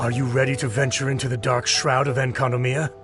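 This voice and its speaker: A man's voice: medium pitch, little pitch movement, quick pace, music in the background, close to an everyday voice. A man playing a soldier escort in a fantasy game, delivering scripted lines. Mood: businesslike. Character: adult male soldier escort.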